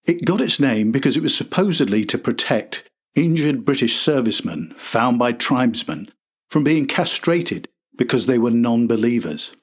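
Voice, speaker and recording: A man's voice: mid-range voice, husky. An older man narrating a scripted history podcast as its host.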